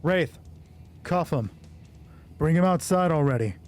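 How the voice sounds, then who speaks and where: A man's voice: on the high side, expressive, a little slow, faint music behind. A man voicing a terse female covert operative in a roleplay game, curt and matter-of-fact. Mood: commanding.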